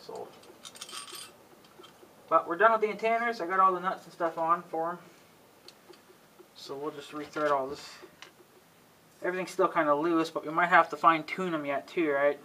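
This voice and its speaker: Casting: male amateur DIY vlogger, fairly high voice, folksy, offhand working chatter, slightly noisy home-video sound. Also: normal speed, long pauses, pushed and bright. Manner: offhand, folksy, thinking aloud while working. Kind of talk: casual hands-on vlog commentary.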